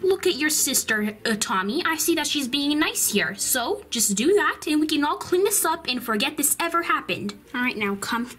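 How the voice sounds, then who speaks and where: A woman acts out an older girl cousin in a children's play story. The voice is high and very lively in pitch. It has an expressive, coaxing way of instructing younger children. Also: normal speed, hardly any pauses. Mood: patient, coaxing.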